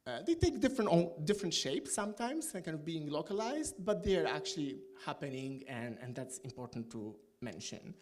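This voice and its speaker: A middle-aged man talks through an academic point in English. His voice sits a little high for a man, and he pauses often, restarting phrases and filling gaps with "uh" and "um". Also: sing-song. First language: Polish. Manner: hesitant, many fillers and restarts. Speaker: academic panelist. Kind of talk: academic panel talk.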